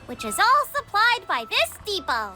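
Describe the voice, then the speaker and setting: A woman's voice: very high voice, huge pitch swings, normal speed, over music, full character voice. A woman voicing a friendly, chipper young female tour guide in a comic dub.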